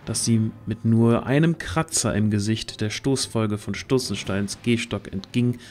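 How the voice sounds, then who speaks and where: A man's voice: fairly low pitch, unhurried, a little mellow. A man narrating a scripted fantasy audio drama in a literary storytelling manner.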